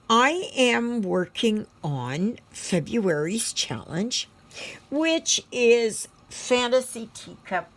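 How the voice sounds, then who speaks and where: Low, slow-talking woman with creaky voice. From Canada. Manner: unhurried, halting, thinking aloud. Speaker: craft vlogger. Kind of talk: casual rambling chat while stitching.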